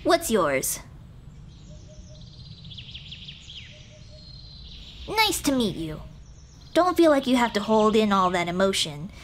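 A woman voicing a small, shy, kind-hearted goblin girl in an ASMR roleplay, speaking hesitantly and gently to comfort the listener. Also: medium pitch, sing-song, a little hiss or hum, close to an everyday voice. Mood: warm, comforting. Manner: hesitant, timid, soft-hearted, halting. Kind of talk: ASMR roleplay, intimate one-sided dialogue. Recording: birdsong and outdoor ambience behind voice. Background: birdsong, nature ambience.

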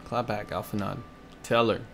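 A man's voice: on the low side, steady tone, normal speed, creaky, a bit bright, a little hiss or hum. A man in his thirties giving casual reaction commentary as a game streamer. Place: indoor room at a streaming desk. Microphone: desktop USB microphone. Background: game music.

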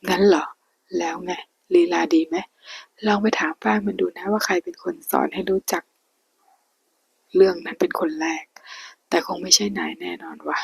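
A sly young man who taunts and needles the people around him, as a woman reader whispers his lines. His voice comes through hushed, rough and airy, with a gravelly grain. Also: on the low side, moderate pace, no room sound, full character voice, poor audio. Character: smug, mocking young man.

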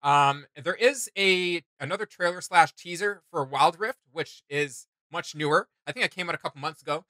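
Male host, thirties, high-pitched and forceful, excitable casual reaction chat, dry close room sound. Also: moderate pace, few pauses, no echo. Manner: enthusiastic, emphatic. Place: small home room with computer desks, indoors.

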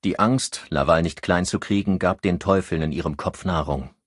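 A man reading scripted crime fiction as an audiobook narrator, in an expressive storytelling manner. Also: sits low, moderate pace, no echo, clean studio sound.

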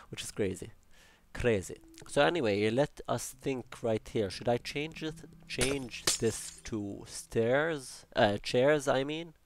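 A young man with an Arabic accent, casually commenting while building in a game, rambling with jokey asides and talking to himself. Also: mid-range voice. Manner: chatty, rambling, jokey asides and self-talk. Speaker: Minecraft building channel host.

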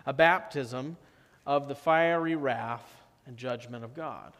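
Slow-preaching pastor in a reverberant church. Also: male voice, mid-range voice. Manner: slow, measured preaching with drops to soft emphasis. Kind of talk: sermon. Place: church sanctuary.

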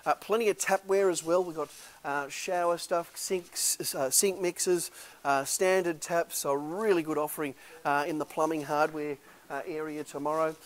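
A man's voice: on the high side, steady tone, moderate pace. A middle-aged man, an auctioneer walking through a warehouse and talking up the lots in a chatty salesman patter full of fillers. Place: large warehouse indoors.

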